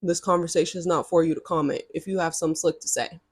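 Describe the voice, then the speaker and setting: A woman's voice: fairly low pitch, steady tone, quick pace, slightly rough, no echo, studio quality. A woman in her early twenties telling a storytime to her viewers in a chatty, sassy way, with frequent direct asides. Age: early 20s. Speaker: vlogger. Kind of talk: casual storytime to camera.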